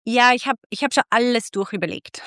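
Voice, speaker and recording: A middle-aged woman from Austria hosting a casual interview, chatty and quick to laugh, often restarting her sentences. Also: fairly high pitch, fairly bright tone, no echo, clean studio sound. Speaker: podcast host and club founder.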